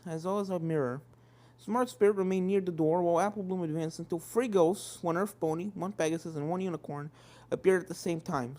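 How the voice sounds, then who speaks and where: A woman's voice: lowish voice, darkish tone. A woman reading a scripted story aloud as its narrator. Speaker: fan fiction reader, narrator.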